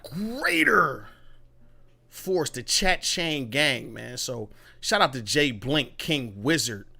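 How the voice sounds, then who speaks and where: A man hosting a casual gaming podcast, talking loosely in slang with plenty of swearing and shout-outs to his chat. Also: medium pitch, very animated, slow pace, vocal fry. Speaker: podcast host.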